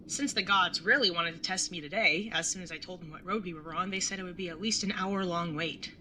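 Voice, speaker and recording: Young woman playing a fed up older sibling in an audio drama, super bright sharp voice, sarcastic, sweary, bit of background rumble. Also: brisk, poor audio. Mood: wry narrating. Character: exasperated, wry older sibling, narrating a road trip. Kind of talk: audio drama acting and first-person narration.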